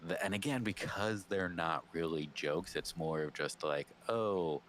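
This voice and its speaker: A man's voice: deep voice, unhurried, fairly bright tone. A man with an American accent chatting casually as a podcast co-host, agreeable and loose in his commentary. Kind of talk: casual chat, reacting and commenting. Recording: noticeable background ambience noise.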